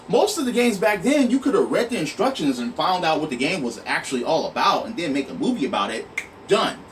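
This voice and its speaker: A man's voice: on the high side, sing-song, fairly quick. A man giving an exasperated, emphatic, profanity-laced opinion rant to his online viewers. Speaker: online video host. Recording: noisy, distant mic, room sound.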